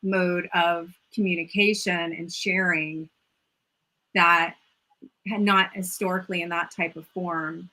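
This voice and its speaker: A woman's voice: fairly low pitch, steady tone, takes it slowly, bright, forceful tone, low-quality recording. A woman hosting a casual interview, enthusiastic and chatty, often repeating phrases and saying "like". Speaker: host and yoga mentor. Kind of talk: casual video-call interview.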